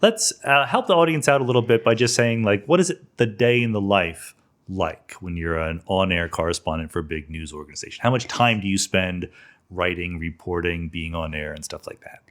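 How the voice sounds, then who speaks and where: A man with a fairly low voice hosts a podcast. He speaks informally and conversationally, with a curious manner, talking his way through ideas and questions. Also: fairly quick, fairly bright tone. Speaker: podcast host and interviewer. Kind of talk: casual conversational interview.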